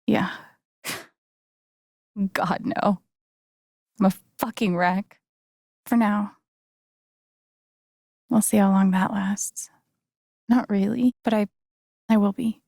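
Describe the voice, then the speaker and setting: A woman's voice: mid-range voice, steady tone, slow pace, gravelly, long pauses, a little mellow, no echo, clean studio sound. An actress playing a candid, wry young woman in a video game, speaking in a plain, conversational way. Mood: subdued, weary. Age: young adult. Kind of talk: naturalistic video game acting.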